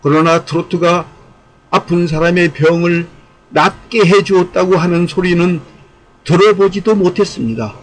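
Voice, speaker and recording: A man with a somewhat high voice reads a prepared commentary. His delivery is formal and earnest, like an essay read aloud, with an exhorting manner and the occasional repeated phrase. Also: normal speed, poor audio. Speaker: online channel host and commentator.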